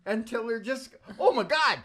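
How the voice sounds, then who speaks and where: A man's voice: very high-pitched, animated, normal speed, bright, forceful tone. An older man hosting a radio music show, speaking into a stand microphone in joking, rambling banter with a wry manner. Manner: wry, jokey, chuckles often. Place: small dim indoor room with wood-panelled wall. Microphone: microphone on a stand, close.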